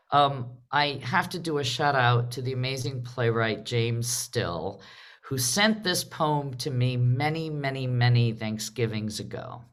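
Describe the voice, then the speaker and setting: A woman casually hosting a live online theatre event on a video call, talking in halting phrases and checking in with her listeners. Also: very deep voice. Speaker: host of a live theatre discussion.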